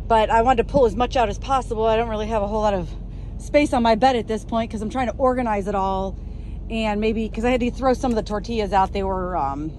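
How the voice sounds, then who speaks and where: A woman chatting informally and a bit ramblingly as she shows things to a handheld phone in a small truck cab. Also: medium pitch. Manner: informal, rambling show-and-tell. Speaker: trucker vlogger. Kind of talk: casual chat showing food supplies. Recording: clearly noisy vehicle background.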